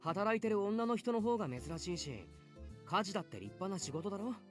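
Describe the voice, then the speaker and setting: Caring, casually blunt male reporter for a visual novel, quick delivery, musical backing. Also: high-pitched, sing-song. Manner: casual, blunt but kindly. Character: caring, gently scolding male newspaper reporter, protective housemate. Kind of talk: Japanese visual novel voice acting. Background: game music.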